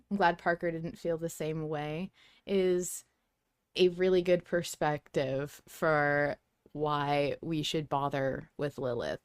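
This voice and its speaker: A woman's voice: on the low side, a little mellow, no echo, clean studio sound. A woman hosting a podcast in a casual, chatty style. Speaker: podcast host.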